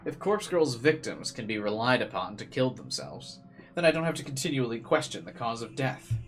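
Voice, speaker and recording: A male let's player with a medium-pitched voice reads from a visual novel. He slips between narration and casual remarks. Faint game music sits behind him. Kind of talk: visual novel read-aloud with casual commentary.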